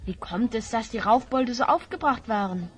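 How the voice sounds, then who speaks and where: A woman's voice: on the high side, expressive, normal speed, soft background music, natural voice. A woman playing a brave, composed young hero in a fantasy audio drama. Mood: calm, resolute. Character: brave, composed young red-haired hero with magic staff.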